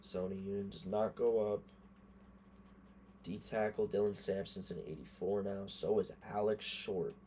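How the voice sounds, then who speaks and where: A hoarse man giving casual gameplay commentary, thinking aloud and muttering quick verdicts as he goes. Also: fairly low pitch, steady tone, warm tone, slight background noise. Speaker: gaming creator.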